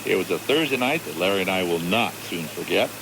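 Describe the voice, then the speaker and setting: An older man with a fairly low voice hosts a live radio show. He moves between presenting and chatting with guests. The broadcast recording carries clear background noise. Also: animated, brisk.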